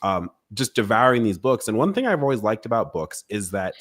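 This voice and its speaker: Low-voiced man, casual podcast host. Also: sing-song, a little fast, a bit bright. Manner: informal, musing, rambling explanations. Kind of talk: casual conversational interviewing.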